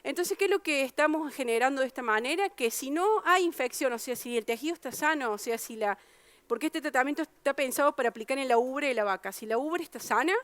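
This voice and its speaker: Clear-voiced Argentine woman explaining science. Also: sits high, lively intonation, quick pace, a bit bright, no room sound. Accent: Argentine Spanish. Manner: explanatory, many fillers and restarts. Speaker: researcher giving a public science talk. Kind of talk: popular science talk with Q&A.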